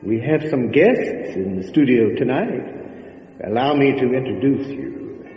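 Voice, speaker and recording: A ghoulish male horror host, played by a man, drawls slowly and theatrically through morbid jokes in old-time radio fashion. His pitch swoops widely, echoing in a long cavernous reverb with music underneath. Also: expressive, dull audio, natural voice. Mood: gleefully morbid. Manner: slow, theatrical, darkly joking.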